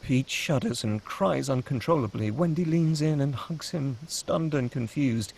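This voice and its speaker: Husky, gravelly man narrating a screenplay. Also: medium pitch, measured pace, darkish tone. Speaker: narrator reading stage directions. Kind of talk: dramatic scripted narration of a screenplay.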